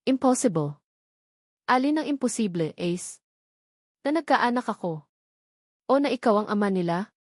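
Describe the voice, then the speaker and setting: A woman's voice: fairly high pitch, expressive, moderate pace, long pauses, no echo. A resolute, bitter young single mother played by a woman storyteller in a romantic drama. She speaks firmly and pointedly, challenging her former lover. The recording is dry. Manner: firm, emphatic, challenging.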